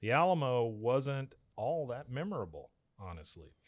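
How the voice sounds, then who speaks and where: A man hosts a casual podcast with enthusiasm. His voice is average in pitch, and the sound is dry and close as he banters loosely. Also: sing-song, normal speed, no room sound. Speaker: podcast co-host.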